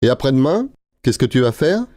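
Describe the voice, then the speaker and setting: A man acting out scripted dialogues for language learners, explaining things in a clear, steady way. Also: medium pitch, fairly quick, no echo, clean studio sound.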